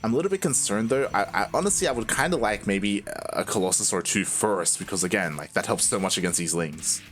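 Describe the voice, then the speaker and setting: Lively man casting a game. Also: fairly low pitch, quick pace. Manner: conversational, filler-heavy, chuckling. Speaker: esports caster and producer. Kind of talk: live game commentary. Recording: commentary over game music.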